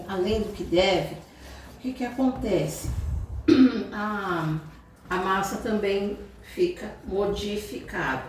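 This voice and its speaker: A woman's voice: on the low side, darkish tone, a little hiss or hum. A Brazilian woman hosting a home cooking lesson, explaining each step in a chatty way and often checking in with viewers. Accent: Brazilian Portuguese. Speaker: cooking channel host. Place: home kitchen. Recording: echoey kitchen audio from far off.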